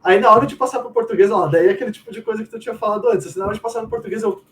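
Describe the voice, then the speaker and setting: A man's voice: sits high, rapid-fire, fairly bright tone. A man with a Brazilian accent chatting casually and slangily as a guest, telling anecdotes and quoting his own thoughts. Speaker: game writer, interviewee. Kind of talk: casual chat, animated anecdotes. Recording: distant mic with faint room noise.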